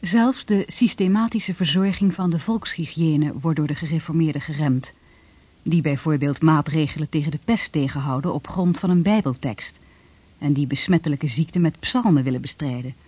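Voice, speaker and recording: Narrator, formal and measured, mellow husky tone, dull dry recording. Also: medium pitch, no echo. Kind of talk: scripted documentary narration.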